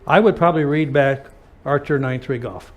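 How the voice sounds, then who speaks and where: A man talking conversationally in an aviation lesson, feeling out his phrases haltingly as he speaks. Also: medium pitch, highs cut off.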